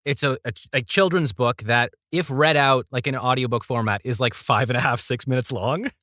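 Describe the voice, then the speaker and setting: A man's voice: medium pitch, brisk, pushed and bright, no room sound, clean studio sound. A man chatting casually as a podcast guest, telling things in a playful, tongue-in-cheek storytelling way. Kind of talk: casual chat with light storytelling.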